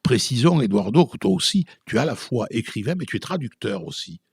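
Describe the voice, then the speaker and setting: A man hosting a conversational radio interview, putting his questions in a halting, reflective way. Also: mid-range voice, big pitch swings, creaky, husky, no echo, clean studio sound.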